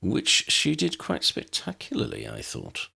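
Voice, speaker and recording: A man voicing a bookish, courtly uncle in an audiobook, measured, wordy and wry. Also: on the high side, huge pitch swings, creaky, pushed and bright, breathy edge, full character voice. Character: bookish, courtly uncle with ornate speech and calm wit.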